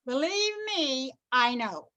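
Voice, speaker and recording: A woman's voice: high voice, sing-song, slow, pushed and bright, full character voice. A woman playing a brusque, bossy street cop in a radio play, curt and commanding. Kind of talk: community theatre radio play acting. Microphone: video call.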